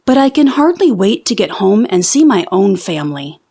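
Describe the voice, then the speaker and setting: Woman reading as an eager, expressive young scholar. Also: medium pitch, normal speed, breathy edge. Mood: earnest, pondering.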